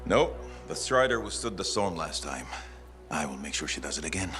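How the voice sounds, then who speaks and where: A man playing a gruff, steadfast veteran ally in a game, with a rallying way of talking. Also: rumbling low, very animated, moderate pace, fairly bright tone, full character voice. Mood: gruff resolve. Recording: faint music behind.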